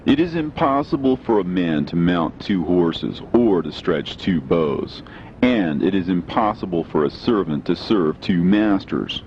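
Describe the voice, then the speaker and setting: A man giving a solemn, measured, declamatory scripted reading of scripture as a narrator. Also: lively intonation. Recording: dull lo-fi sound, faint rumbling noise.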